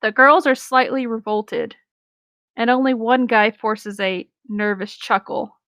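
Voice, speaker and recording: A woman's voice: a little high, steady tone, a little slow, fairly bright tone, dry recording, clean studio sound. A woman chatting casually and reading a story aloud, in an informal, rambling way.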